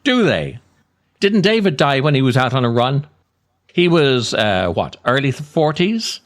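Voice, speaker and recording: A man's voice: medium pitch, sing-song, a little slow. A man voicing his own stubborn, cynical, self-indulgent side in comic dialogue, dry, blunt and argumentative. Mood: sceptical. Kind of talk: comic scripted dialogue with himself.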